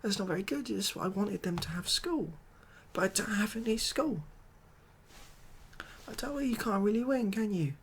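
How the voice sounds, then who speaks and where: Creaky-voiced British man, hesitant and deadpan. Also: high voice, moderate pace, a little hiss or hum. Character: glum, overwhelmed ex-builder made president of the world. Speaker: ASMR roleplay performer. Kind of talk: soft-spoken ASMR comedy roleplay monologue.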